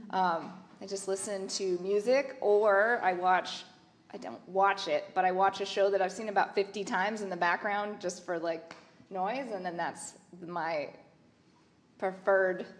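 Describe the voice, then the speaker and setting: A woman artist talks on a discussion panel. Her pitch sits in the middle, and she rambles casually, circling back and restarting her sentences.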